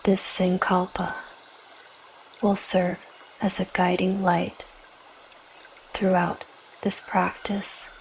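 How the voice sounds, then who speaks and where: Very slow, low-voiced woman guiding meditation. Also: little pitch movement, a little hiss or hum, low-quality recording. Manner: gentle, soothing, measured with long pauses. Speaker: yoga nidra guide. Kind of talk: guided meditation, scripted narration.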